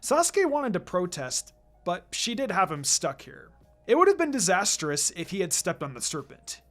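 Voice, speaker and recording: Expressive story narrator with lively pitch. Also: moderate pace, soft background music. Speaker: narrator reading fan fiction.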